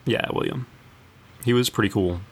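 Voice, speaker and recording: A man's voice: lowish voice, moderate pace. A man co-hosting a podcast, chatting casually about video games. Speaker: podcast co-host. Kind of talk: casual video game discussion.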